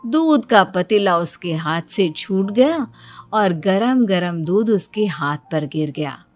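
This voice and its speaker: A woman tells a scripted cartoon story at an unhurried, slow pace. Her pitch sits in the middle, with music playing softly behind her. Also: animated, no echo. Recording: background music under the voice.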